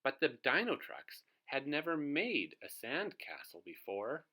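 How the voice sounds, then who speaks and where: A man's voice: medium pitch, wildly swooping pitch, unhurried, bright, forceful tone, husky. A man reading a children's storybook aloud as host, expressively, putting questions to his young listeners.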